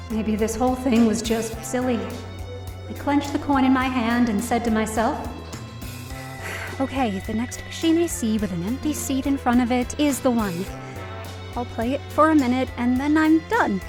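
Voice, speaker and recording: A woman's voice: a little high, echoey room, recorded from a distance, lightly acted. A woman playing a down-on-her-luck, distressed young woman narrating her own story in an audio drama. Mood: hesitant, curious. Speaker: lead actor in audio drama. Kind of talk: first-person dramatic narration.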